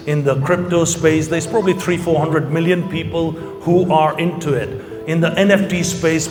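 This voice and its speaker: Male conference speaker, middle-aged, fairly high voice, informal and emphatic, recorded in an echoing hall. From Kenya. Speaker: company founder and keynote speaker. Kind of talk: informal conference pitch to an audience. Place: large hall, speaking to an audience. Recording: long hall reverb, music behind. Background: music.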